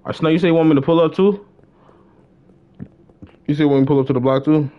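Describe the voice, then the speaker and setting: A man's voice: a little high, fast. Young American man, dull lo-fi mic. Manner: slangy, repeats phrases. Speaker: livestreamer. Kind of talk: casual gaming stream chatter. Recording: dull lo-fi game-stream mic.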